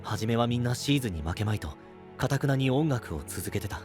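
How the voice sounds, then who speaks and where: A man playing a dutiful guardian knight in an anime-style voice drama, grave, gentle and earnest. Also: medium pitch, expressive, fairly quick, music in the background, close to an everyday voice. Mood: sorrowful, grave.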